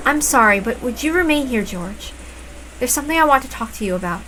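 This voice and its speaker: A woman's voice: a little high, animated, a little fast, pushed and bright, a little hiss or hum, rough recording. A woman voicing a shrewd, suspicious mother who gives polite but pointed orders in a story reading. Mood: polite command. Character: shrewd, suspicious mother taking command of her family.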